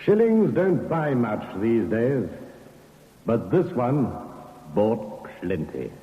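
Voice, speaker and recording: Wry, composed British inspector, vintage radio crime drama; slightly husky, on a dull old recording. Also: male voice, medium pitch, sing-song, takes it slowly, exaggerated voice. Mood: dryly amused.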